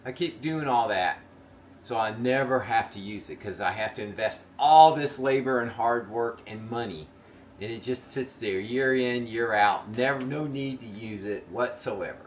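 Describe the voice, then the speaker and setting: A man's voice: medium pitch, normal speed, slight background noise. An older man in casual chat, folksy and rambling with lots of fillers, his voice a little congested from a cold. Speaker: vlog host. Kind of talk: casual chat and how-to demonstration.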